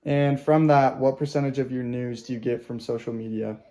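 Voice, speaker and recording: A man reading scripted documentary narration in an earnest, steady way. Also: mid-range voice, fairly quick, slight background noise. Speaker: student narrator. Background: guitar music.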